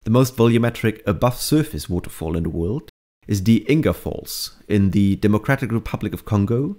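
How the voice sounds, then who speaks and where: A man reading scripted documentary-style narration for an educational explainer, in a measured, explanatory manner. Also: lowish voice, darkish tone. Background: background music.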